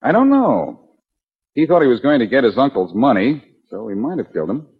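A man's voice: medium pitch, very animated, brisk, slightly rough, exaggerated voice. A man playing a brash, wisecracking amateur detective in a 1940s radio drama, with a wry, needling and assured manner. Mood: musing. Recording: muffled, dull 1940s radio recording.

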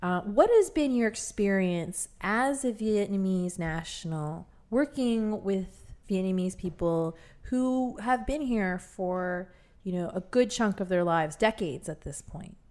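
A woman hosting a conversational interview show, telling stories in a chatty, animated way. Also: medium pitch, a little slow. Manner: chatty, animated storytelling with laughs. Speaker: radio show host.

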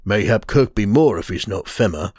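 A man voicing a grumbling older countryman with a Geordie accent, gruff and drawn-out. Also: on the low side, animated, a little mellow, dry recording, clean studio sound.

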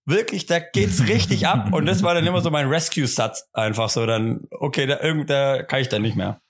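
Male podcast co-host, gravelly, chatty, teasing, halting delivery. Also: mid-range voice, expressive. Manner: rambling, self-interrupting, joking. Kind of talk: casual remote podcast chat.

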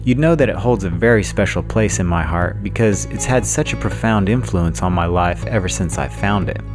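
A man hosting a podcast, giving a measured, explanatory introduction in a scripted style. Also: low-pitched, over music. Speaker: podcast host.

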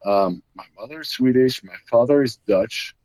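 A man's voice: mid-range voice, normal speed, darkish tone, no echo, low-quality recording. A middle-aged man from Belgium, a guest explaining his custom Jeep builds in casual talk, with many fillers and restarts.

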